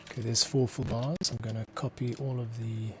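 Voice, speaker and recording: A man's voice: mid-range voice, flat delivery, a little fast, slight background noise. A man giving casual work-along commentary as a composer, muttering to himself in fragments. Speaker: composer, host. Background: music, computer keyboard clicks.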